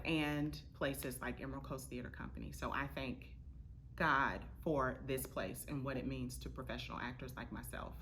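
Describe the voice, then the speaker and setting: A woman's voice: low voice, sharp tone, a little hiss or hum. A woman from Florida, a theater director and actress, giving thoughtful answers as an interview guest. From Florida, United States.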